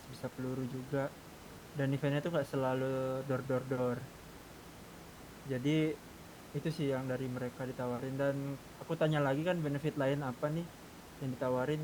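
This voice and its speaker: A man in a casual roleplay gaming discussion, explaining things and asking the others for their views. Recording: clearly noisy game voice chat, low rumble.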